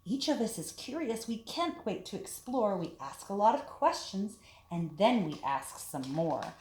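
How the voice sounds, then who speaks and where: A woman's voice: medium pitch, big pitch swings, a little mellow. Woman reading storytime in an echoey room. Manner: simple, deliberate phrasing for young children. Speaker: storytime teacher reading to children. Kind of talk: children's storytime, talking and reading aloud. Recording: echoey room with background hiss.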